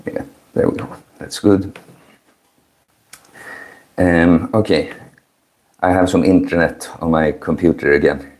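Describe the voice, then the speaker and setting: A man in his early thirties hosting a casual livestream, chatting with viewers in a chill, mellow, low-key manner. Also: steady tone, slow, pauses often. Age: early 30s. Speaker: livestream host. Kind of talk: casual chat with live stream viewers.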